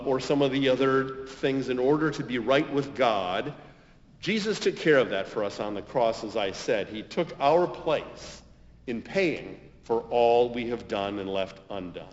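Male American preacher, medium pitch, measured sermon delivery with emphatic pauses; dull recording in a big echoing hall. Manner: measured, deliberate phrasing with emphatic stresses and pauses. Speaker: pastor. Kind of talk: sermon, homily.

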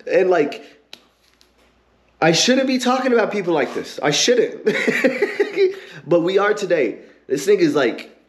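A man hosting his own podcast, ranting heatedly and cursing a lot, with an occasional stutter and pauses filled with um and like. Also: a little high. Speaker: podcast host. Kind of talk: ranting commentary.

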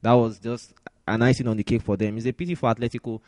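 A man's voice: medium pitch, flat delivery, quick pace, a little mellow, dry recording. A man hosting a sports podcast, talking through news and results as commentary. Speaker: podcast host.

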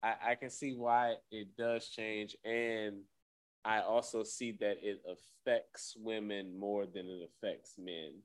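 Man, fairly low voice, casual radio host, hedging, thinking aloud, dry sound. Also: steady tone, slow, no echo. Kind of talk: casual chat.

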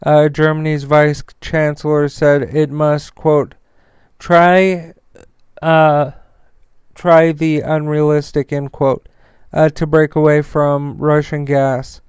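A man presents informal podcast news and sponsor reads in a smooth, very clean voice that sits a little high. He stutters and stumbles, and his melody stays quite even throughout. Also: no echo, studio quality. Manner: halting, stumbling delivery. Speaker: podcast host.

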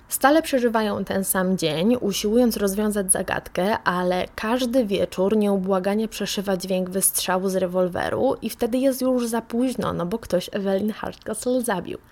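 A woman's voice: mid-range voice, a little mellow. A woman presents a radio book review in an enthusiastic, conversational way as the host. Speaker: radio host recommending books.

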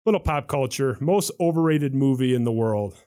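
A man hosting a studio talk show, asking quick questions and teasing his guest. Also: medium pitch, expressive, mellow, clear, resonant tone. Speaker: host. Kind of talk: casual chat. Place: radio studio.

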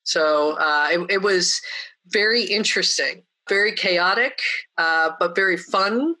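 A woman with a deeper voice, a podcast guest telling stories in a lively, anecdotal way and mimicking other people when she quotes them. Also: unhurried. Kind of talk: storytelling in a podcast interview.

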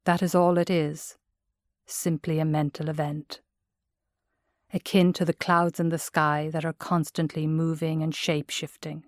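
Irish lady doing a guided meditation, low voice, gentle and measured, really dry close sound. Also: little pitch movement, no room sound. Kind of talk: guided mindfulness meditation narration.

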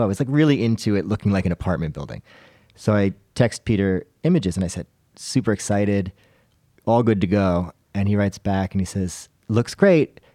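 A man's voice: on the low side, mellow, no room sound, clean studio sound. A man chatting casually as a podcast panelist, telling anecdotes in a conversational, self-deprecating way.

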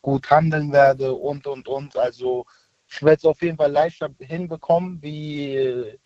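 Young male radio caller from Turkey, mellow voice, halting short answers, muffled phone line. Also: on the high side, fairly even intonation, no room sound. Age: 20s. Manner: short agreeing replies, hesitant with fillers.

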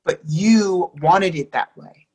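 A lovestruck young partner in a stage drama, read by an actor, with a mid-pitched voice. The delivery is emphatic and imploring, hurt and insistent, as in a script-in-hand play reading. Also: slow, a little mellow, slightly rough. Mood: urgent pleading. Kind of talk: readers theatre dialogue reading.

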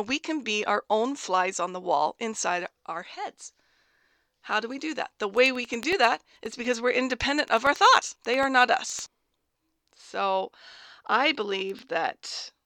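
A woman life coach giving a casual motivational talk, slightly hoarse from a cold, upbeat and chatty, laughing often as she speaks. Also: fairly high pitch, pushed and bright, no room sound, clean studio sound. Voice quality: raspy.

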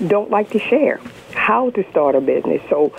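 A woman's voice: lowish voice, expressive, moderate pace, creaky. A middle-aged woman with an American accent, talking conversationally as a caller on a telephone. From United States. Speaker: caller, works with schoolchildren. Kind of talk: conversational call-in remarks. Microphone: telephone call. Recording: muffled, noisy phone line.

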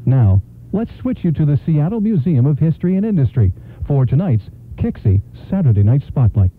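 Man, radio host, introductory patter, animated pitch swings, tinny phone-like radio band. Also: moderate pace.

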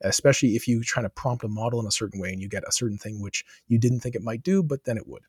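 A man's voice: lowish voice, fast, darkish tone, slightly rough, no room sound, clean studio sound. A man answering as a podcast guest, thinking aloud with many asides and restarts. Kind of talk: conversational interview answers.

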